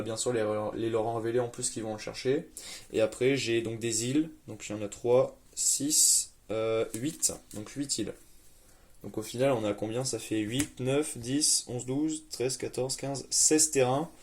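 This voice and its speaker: A young man chats casually about his card game deck at a medium pitch. He sounds laid-back and a little hesitant, filling pauses with small sounds as he goes through the cards one by one. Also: steady tone, darkish tone. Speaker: deck presenter.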